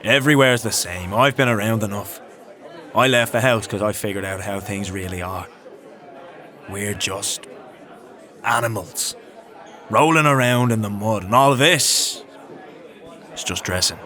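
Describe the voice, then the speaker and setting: An actor playing a cynical, coarse, world-weary male scavenger in a dark fantasy audio drama, blunt and foul-mouthed. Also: fairly low pitch, a little slow, pauses often, some chatter behind. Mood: jaded, bitter. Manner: blunt, profane, jaded.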